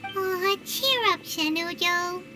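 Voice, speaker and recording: A woman voicing a tiny, chirpy, cheeky childlike fairy companion in a video game, with a squeaky voice. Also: takes it slowly. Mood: consoling. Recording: loud music behind the voice.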